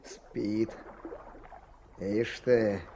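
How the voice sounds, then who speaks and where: A man playing a hearty, rough-and-ready log-driving workman in a radio play. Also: on the low side, level tone, very slow, warm tone. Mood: amused. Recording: noisy river ambience behind the voice.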